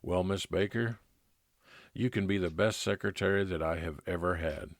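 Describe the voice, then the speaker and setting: A man playing a calm, kindly private investigator who narrates his own case in measured old-time radio detective style. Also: deep voice, no room sound, studio quality, natural voice. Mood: reassuring. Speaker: narrator and lead actor.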